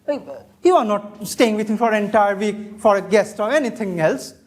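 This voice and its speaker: Man playing a stern, exasperated gentleman. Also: sits high, moderate pace, a little hiss or hum, close to an everyday voice. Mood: indignant. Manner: indignant, formal. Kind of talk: amateur stage comedy acting.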